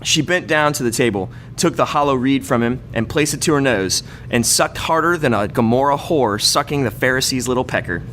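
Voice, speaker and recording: A man's voice: medium pitch, brisk, hardly any pauses, fairly bright tone, slight background noise. A man doing a live reading of a bawdy comic story, stumbling over words and breaking into laughter now and then. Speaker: comedy troupe member reading own story.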